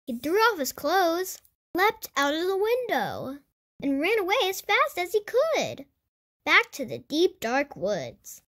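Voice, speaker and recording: A woman reading a picture book aloud to children in an animated storytelling style. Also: extremely high pitch, takes it slowly. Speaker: children's storybook reader and host. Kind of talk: animated read-aloud narration for kids.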